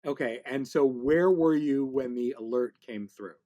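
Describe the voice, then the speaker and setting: A man's voice: mid-range voice, normal speed, warm tone, muffled recording. Male interviewer, mid-range voice, informal and dryly jokey podcast host. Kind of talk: casual interview with dry joking.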